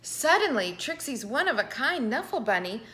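A middle-aged woman reading a picture book aloud to children with expressive, dramatic emphasis. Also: on the high side, normal speed, low-quality recording. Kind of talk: animated children's storybook read-aloud. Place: indoor living room with piano.

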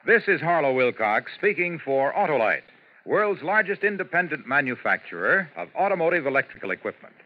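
A man's voice: on the high side, sing-song, brisk, slight background noise. An American man reading sponsor announcements in formal, polished 1950s radio style. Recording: muffled 1950s radio recording.

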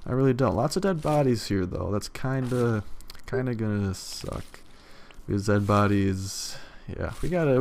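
A young man with a slightly hoarse voice, giving loose, rambling gameplay commentary and swearing casually. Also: fairly low pitch, a little mellow, a little hiss or hum. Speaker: let's play gamer.

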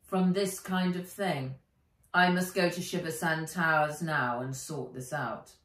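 A woman's voice: low voice, a little slow, a bit bright. A woman voicing a stern, protective man in a children's adventure story, firm and commanding. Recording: roomy, distant home camera sound.

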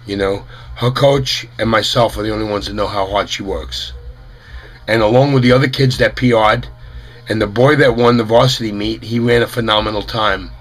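A middle-aged man with a head cold and a fading voice, talking earnestly to a webcam in a small room, halting, with many fillers. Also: fairly low pitch, steady tone, low-quality recording. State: head cold, losing his voice. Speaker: parent of a runner. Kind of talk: casual to-camera statement.

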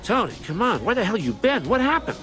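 Slick mob lawyer, very animated. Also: male voice, high-pitched, fast, soft background music. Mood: startled. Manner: smarmy, evasive. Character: slick, reluctant, mercenary male mob lawyer. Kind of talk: video game cutscene acting.

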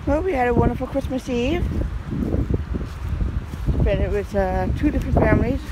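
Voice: mid-range voice, animated, moderate pace. A vlogger talking casually and rambling a little with fillers while walking outdoors. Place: windy outdoor campground. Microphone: handheld phone camera while walking. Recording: dull phone audio with loud wind noise.

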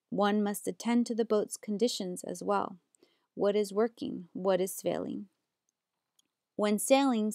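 Young woman with a gravelly, dry voice. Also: mid-range voice, no room sound. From United States. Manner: steady, earnest, measured reading. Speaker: graduate student presenter. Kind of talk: reading a prepared slideshow presentation.

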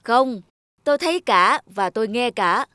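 A woman voicing a composed god of calm in a cartoon, firm and didactic. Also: a little high, a little slow, clear, resonant tone, no room sound, clean studio sound. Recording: music under the voice.

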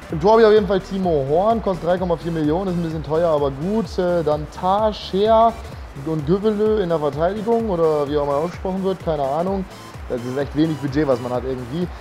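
Young man, slight cold, fast talker, slangy upbeat vlog chat, music in the background. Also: on the high side, warm tone. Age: young adult. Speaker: vlogger. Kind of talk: casual chat to camera. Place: outdoors on residential streets and indoors in a living room. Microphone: phone or camera at arm's length.

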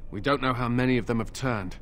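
An actor playing a fervent, distrustful templar knight in a fantasy game, urgent and accusing. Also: male voice, mid-range voice, fast, a bit bright, a little hiss or hum, close to an everyday voice. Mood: bitter.

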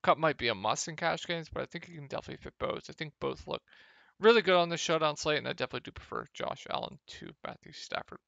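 Young man, gravelly, a bit hoarse, bright tone, dry dull mic, casual ums. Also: fairly high pitch, quick pace, no echo. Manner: relaxed, lots of ums. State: a little hoarse. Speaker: fantasy sports analyst. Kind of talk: casual screen-share analysis.

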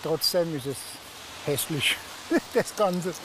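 Older man, trucker, high voice, halting and sentimental, beach with wind noise. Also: lively intonation, a bit bright, low-quality recording. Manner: hesitant, sentimental, trailing off. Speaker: long-haul truck driver. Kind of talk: halting, emotional interview answers. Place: outdoors on a beach. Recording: outdoor beach with noise behind.

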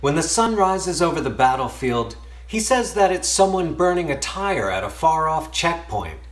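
A man reading a poem aloud expressively, with measured, emphatic phrasing and pauses, in a small room. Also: a little high, sing-song. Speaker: poetry reader. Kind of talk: expressive poetry recitation. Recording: roomy indoor echo.

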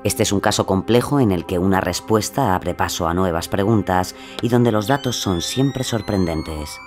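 A man's voice: low-pitched, normal speed. A man narrating a true-crime story from a script. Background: music.

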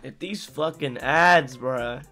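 A man's voice: mid-range voice, slow, bright, forceful tone, soft background music. A teenage male creator giving casual, slangy, sweary reaction commentary into a desk microphone in a bedroom. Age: teens. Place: small bedroom gaming setup. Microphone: boom-arm desk microphone.

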